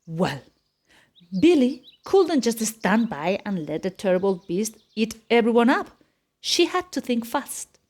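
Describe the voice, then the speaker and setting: A woman narrating a children's picture book aloud in an expressive, deliberate storytelling style. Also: a little high.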